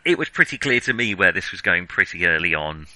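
A man's voice: low-pitched, big pitch swings, fairly quick, pushed and bright, no echo, low-quality recording. A man discussing film in conversation, reflective and hesitant as he thinks aloud. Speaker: podcast host.

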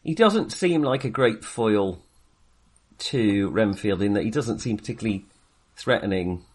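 A man's voice: fairly low pitch, expressive, measured pace, a little mellow, breathy edge. A man co-hosting a film podcast in casual discussion, hesitantly circling back and qualifying his points. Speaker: film review podcast co-host.

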